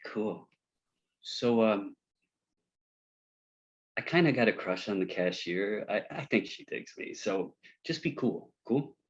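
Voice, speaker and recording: A man's voice: lowish voice, lively intonation, slow, pauses often, muffled recording. An actor at a table read playing a breezy, chummy male movie director, slangy and eager to seem cool. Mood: chatty, eager.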